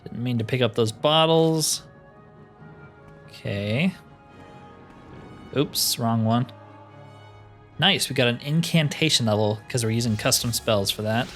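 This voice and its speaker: A man's voice: mid-range voice, a little slow, pauses often, fairly bright tone. Male gaming host, mid-range voice, wry and casual, thinking aloud over music. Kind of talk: casual solo video game playthrough commentary. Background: game music.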